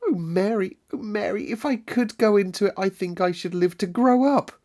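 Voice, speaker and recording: A man voicing a frail, tired young boy in a storybook, soft and eagerly pleading. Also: high voice, normal speed, pitched above the performer's own voice, lightly acted. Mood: yearning.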